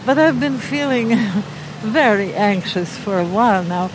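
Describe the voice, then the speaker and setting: A woman in her eighties from Ukraine, interviewed outside a restaurant, speaking slowly with soft laughs. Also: medium pitch, noisy background. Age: 80s. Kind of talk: street interview.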